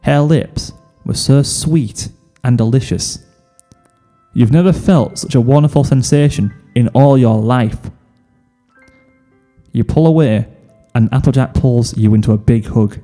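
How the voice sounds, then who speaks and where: A man's voice: mid-range voice, unhurried, mellow, in a large hall, soft background music. A man reading a scripted second-person story as narrator. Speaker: fan fiction reader, narrator.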